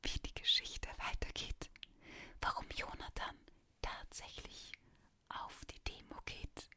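A narrator reading a youth novel in a breathy, unvoiced whisper, in the style of an audiobook. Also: slow pace, sharp tone.

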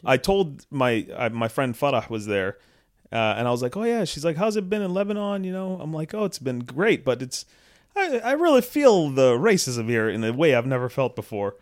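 A young man from Canada, co-hosting a podcast, tells a long story at his own easy pace. His voice sits at a middle pitch, and he laughs readily and acts out the people he quotes. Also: big pitch swings, normal speed. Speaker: podcast co-host. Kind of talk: casual storytelling chat.

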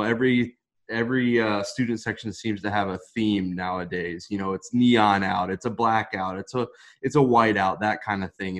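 Low-voiced upbeat sports podcast host. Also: male voice, fairly bright tone. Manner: upbeat, steers the talk with questions. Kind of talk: casual sports talk.

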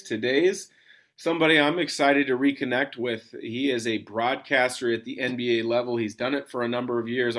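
A man's voice: mid-range voice, a bit bright. A man hosting a sports interview podcast in a conversational style. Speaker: podcast host.

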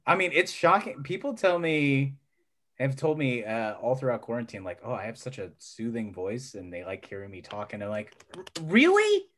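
A man's voice: mid-range voice, sing-song, normal speed, a bit bright. A man hosting a casual podcast interview, joking along and giving many affirming mm-hmms. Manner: jokey, lots of affirming mm-hmms. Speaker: podcast host.

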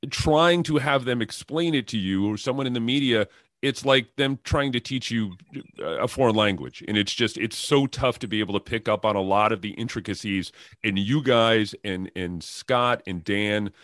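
A man hosting a sports podcast, interviewing conversationally and somewhat haltingly, often repeating words as he goes. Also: on the low side, a bit bright, dry recording, clean studio sound.

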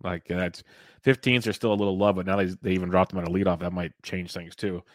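A man's voice: sits low, flat delivery, fast talker, no echo, studio quality. A man in his thirties co-hosting a podcast, chatting easily about baseball players and putting questions to his partner. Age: 30s. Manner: easygoing, chatty, leads topics with questions. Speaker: podcast co-host. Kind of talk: casual fantasy baseball chat.

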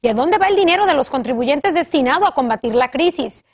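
A woman anchoring a Spanish-language local newscast, reading the news in a crisp, even way. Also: sits high, fairly quick.

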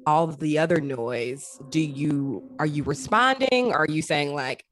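A woman co-hosting an interview podcast in casual chat, playful and animated in how she tells things. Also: sits low, measured pace.

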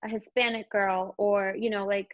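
A woman's voice: mid-range voice, level tone, normal speed, dry recording. A young woman in casual conversation about her acting work, talking in a loose, chatty way with lots of "like.". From India. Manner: chatty, fillers like "like". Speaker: actor guest. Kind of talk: casual chat. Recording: slightly dull, lo-fi sound.

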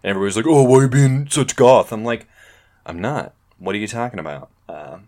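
Homem de voz bem grave, conversa solta. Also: male voice, fairly low pitch, fast talker, warm tone. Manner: rambling, many fillers and restarts. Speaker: podcast host. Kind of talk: casual podcast chat.

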